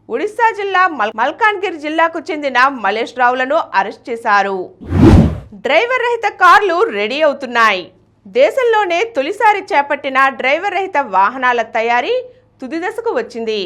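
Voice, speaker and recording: A woman's voice: high-pitched, a bit bright. A woman reading scripted regional news. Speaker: news reader.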